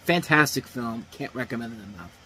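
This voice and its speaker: A man's voice: mid-range voice, animated, a little fast, sharp tone, a little hiss or hum, poor audio. A man in his thirties casually reviewing movies as a host, talking off the cuff with frequent restarts and repeated phrases. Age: 30s. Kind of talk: casual unscripted review talk.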